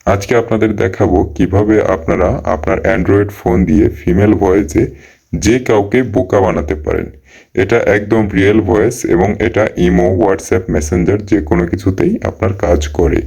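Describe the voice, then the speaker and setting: A man's voice: deep voice, fairly even intonation, normal speed, gravelly, warm tone, husky. A man giving a tutorial-style promotional voice-over for a phone app.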